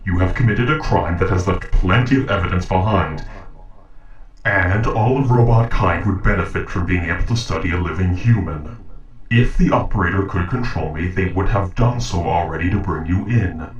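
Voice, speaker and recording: A man playing a calm, logical sentient robot in an audio roleplay, speaking in formal, measured, precise sentences. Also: deep voice, reverberant, far from the mic, dull audio, natural voice. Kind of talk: dramatic audio roleplay acting.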